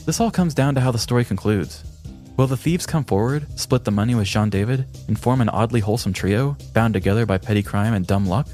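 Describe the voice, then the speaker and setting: A man narrating a scripted story as a podcast host, in a measured, dramatic manner. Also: mid-range voice, darkish tone. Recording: music behind the voice. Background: background music.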